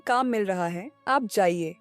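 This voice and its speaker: A woman's voice: mid-range voice, measured pace, dry recording, soft background music, close to an everyday voice. A woman playing a caring, sensible young village wife in a cartoon moral story. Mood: reassuring. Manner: gentle, supportive.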